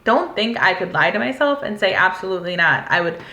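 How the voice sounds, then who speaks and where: A woman's voice: mid-range voice, animated, fairly quick, bright, forceful tone. A woman in her twenties from the United States, telling a personal story in a candid, rambling way with many filler words. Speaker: vlogger. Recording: echoey room.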